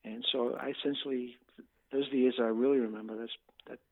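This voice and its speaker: A man, a saxophone player from Bermuda, chatting casually as an interview guest, relaxed and given to chuckles and repeated words. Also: medium pitch, quick pace, creaky. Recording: tinny phone line.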